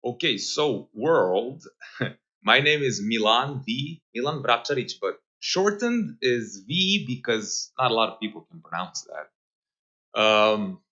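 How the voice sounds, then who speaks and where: A thirty-year-old man from Serbia with a Serbian accent, talking casually and reflectively as an interview guest, pausing as he finds his words. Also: mid-range voice, very animated, pushed and bright, dull audio. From Serbia, Novi Sad. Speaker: podcast guest, holistic guide.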